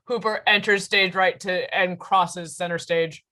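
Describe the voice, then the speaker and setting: A woman's voice: lowish voice, fairly even intonation, measured pace, sharp tone. A woman reading out stage directions at a musical table read.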